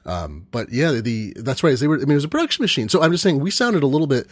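A man's voice: medium pitch, sing-song, quick pace, dry recording, studio quality. A man chatting casually as a panel host, explaining eagerly and repeating words for emphasis.

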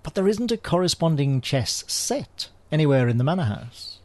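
Droll, lively British gentleman spy. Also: fairly high pitch, normal speed, dry recording. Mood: wry, composed. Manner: droll, understated. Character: urbane, wry male British spy, unflappable gentleman agent. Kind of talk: spoof radio spy drama acting.

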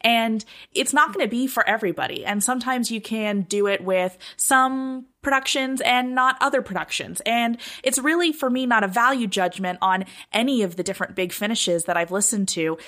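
A woman's voice: medium pitch, a bit bright. A woman chatting casually as a podcast panelist, explaining her thoughts in a conversational way.

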